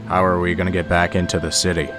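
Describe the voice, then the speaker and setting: An actor playing a gruff, sardonic smuggler turned rebel captain, casual, wry and blunt, in a fan film. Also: male voice, low voice, flat delivery, quick pace, over music, lightly acted. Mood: reluctant, blunt. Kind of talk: fan film dramatic acting.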